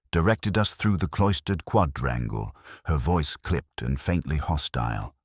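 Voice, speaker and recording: A middle-aged man narrating a scripted first-person detective story in a measured, brooding, literary manner. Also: extremely low pitch, slightly rough, no room sound, clean studio sound.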